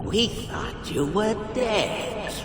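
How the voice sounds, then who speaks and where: An actor playing a menacing, mocking villain in ancient Egypt, with drawn-out, theatrical taunts in a video game. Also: male voice, sits high, lively intonation, noisy background, heavily acted. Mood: scornful, menacing. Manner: drawn-out, taunting, swelling to shouts. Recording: echoey reverberant audio under loud music.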